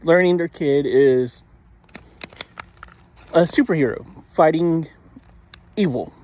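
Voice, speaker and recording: Man, slightly high voice, slow halting delivery, frequent throat clearing, casual reviewer. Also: a little mellow. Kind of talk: casual spoken episode review.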